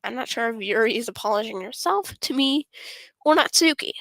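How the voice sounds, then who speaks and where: A woman streamer reading a visual novel aloud with casual asides, halting and stumbling over the lines as she goes. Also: fairly high pitch, lively intonation, creaky, a bit bright, no room sound. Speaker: gaming streamer.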